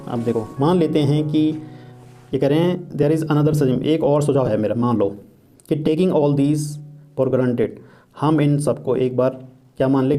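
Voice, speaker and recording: A man teaching, reading English lines slowly and explaining each point in Hindi, often asking and answering his own questions. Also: medium pitch, warm tone. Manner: reads English quotes slowly and deliberately.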